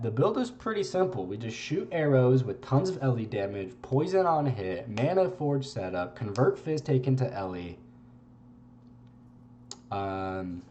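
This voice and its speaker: A young man with a congested voice, giving relaxed, rambling gameplay commentary and swearing casually. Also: medium pitch. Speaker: game streamer.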